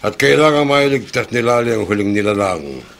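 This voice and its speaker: An actor playing a solemn, grave messenger of death in a Filipino horror radio drama, speaking firmly and with measure. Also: male voice, fairly low pitch, lively intonation, a little fast, gravelly, a bit bright.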